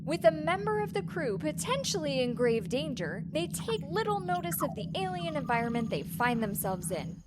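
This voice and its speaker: A woman's voice: high voice, big pitch swings, normal speed, hardly any pauses, very noisy. A woman playing a solemn but easily irritated narrator in a comedy audio drama.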